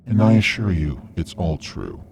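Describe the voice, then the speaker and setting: A man playing a cold, self-righteous vigilante killer in a radio drama, speaking in a measured, menacing, lecturing way. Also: deep voice, very animated, creaky, noisy background, heavily acted. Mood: smug, calculating.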